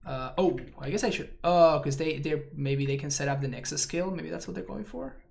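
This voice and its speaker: Low-voiced Argentine woman musing over gameplay. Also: a little fast, vocal fry, a little mellow. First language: Spanish. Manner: musing, chatty, thinks aloud. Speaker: online card game streamer. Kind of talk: casual gameplay commentary.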